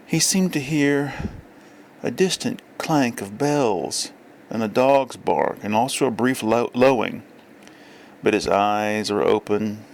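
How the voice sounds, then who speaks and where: A man reading a literary story aloud as narrator, with measured, deliberate phrasing. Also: lowish voice, gravelly, a little hiss or hum. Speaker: audiobook reader.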